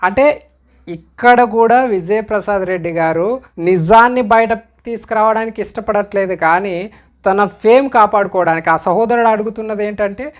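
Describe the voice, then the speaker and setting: A man's voice: high voice, moderate pace. Male commentator, speaking straight to viewers, admonishing, full of pointed questions. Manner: admonishing, questioning, repeatedly urging listeners to reflect.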